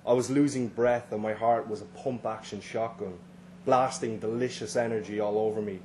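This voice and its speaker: A man's voice: fairly low pitch, fairly even intonation, normal speed, a little mellow. A man with an Irish accent reading a first-person story at a live literary event. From Ireland. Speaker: story reader. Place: live reading at a London venue. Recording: live event recording, faint background noise.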